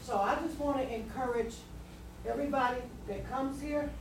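High voiced lady evangelist, earnest, far from the mic, super echoey noisy room. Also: normal speed. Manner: earnest, repeating words. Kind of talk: exhortation at a church service.

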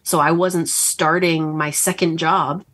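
Chatty woman with a low voice. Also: takes it slowly. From Northern Kentucky. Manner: chatty and animated, frequent ums and likes, laughs as she talks. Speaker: romance author, guest. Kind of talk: casual podcast interview.

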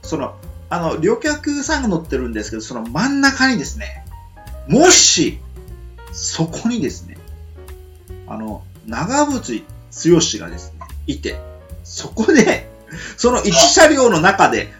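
A man's voice: high-pitched, wildly swooping pitch, unhurried, a bit bright, faint music behind. A man with a Kansai accent co-hosting a casual radio chat, rambling with many hesitant fillers. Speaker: podcast co-host.

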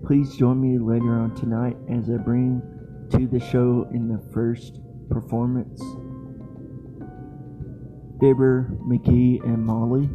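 A man hosting a podcast, reading an outro in halting, short phrases. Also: mid-range voice, level tone. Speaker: podcast host. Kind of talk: scripted podcast sign-off. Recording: dull lo-fi audio. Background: prominent plucked guitar music.

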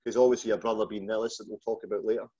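A man's voice: on the low side, level tone, rapid-fire, mellow, breathy edge. A man with a Glaswegian Scottish accent hosting a casual interview, talking in an informal, matey, colloquial way. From Scotland, just outside Glasgow. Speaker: podcast host. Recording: dull, lo-fi call audio.

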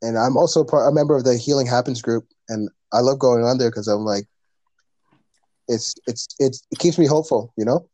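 A man's voice: mid-range voice, a little fast, mellow, dry recording. A man, talking earnestly as a podcast guest in a rambling, casual way with many fillers. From Canada. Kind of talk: casual conversation.

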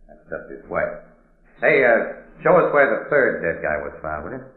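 A level-headed crime photographer in an old radio mystery. His low voice swings freely in pitch, breezy and wry. The sound is tinny and noisy, with plenty of echo. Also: big pitch swings, moderate pace. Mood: calming. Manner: breezy, colloquial, wisecracking. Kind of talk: old-time radio drama acting.

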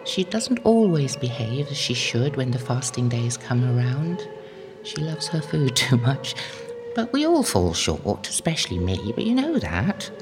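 An older woman playing an elderly, devout medieval anchoress in an audio drama, gentle and humble, praying in a hushed, earnest, reflective way. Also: very deep voice, huge pitch swings, measured pace, over music, heavily acted. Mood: anxious, then assured. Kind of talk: audio drama acting, intimate prayer.

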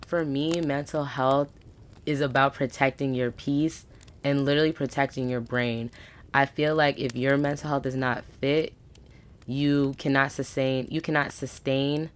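A woman in her twenties hosting a solo podcast, talking in a casual, slangy, upbeat way with emphatic asides. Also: very deep voice. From United States. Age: 20s. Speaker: podcast host.

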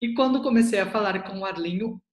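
A man interviewing a student about an online language course. He talks in a casual, animated way, exclaims often and repeats key words. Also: high-pitched, fast, reverberant.

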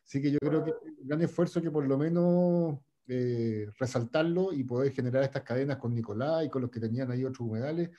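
A man's voice: medium pitch, brisk, dark tone, dull audio. A man moderating an online discussion, enthusiastic and rambling.

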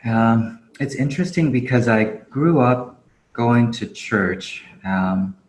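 A man's voice: medium pitch, lively intonation, slow pace. A man, a podcast guest, talking casually and reflectively with many fillers and easy laughs; he grew up in Japan. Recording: roomy echo.

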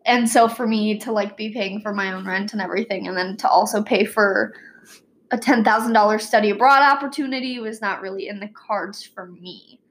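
A young woman and college student co-hosting a casual podcast, talking relaxed with many fillers. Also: medium pitch, fairly quick, slight background noise. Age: young adult. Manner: relaxed, fills with "um" and "like". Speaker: student co-host.

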